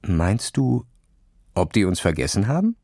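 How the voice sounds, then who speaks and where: A man's voice: lowish voice, very animated, unhurried, a little mellow, dry recording, studio quality, exaggerated voice. A man voicing an anxious, timid boy who tries to seem cool, in a children's audio story with a hesitant manner. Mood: frightened. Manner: hesitant, uneasy, short replies.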